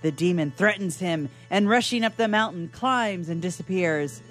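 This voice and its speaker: A woman narrating stage directions for a radio play in a deliberate, dramatic scripted style. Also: fairly low pitch, faint music behind.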